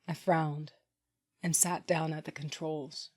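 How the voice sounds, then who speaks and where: A woman's voice: low-pitched, little pitch movement, takes it slowly, darkish tone. An actress playing a tough, sardonic underworld boss who narrates her own story bluntly in an audio drama. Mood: tense, focused. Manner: blunt, wry. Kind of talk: first-person dramatic narration and acting.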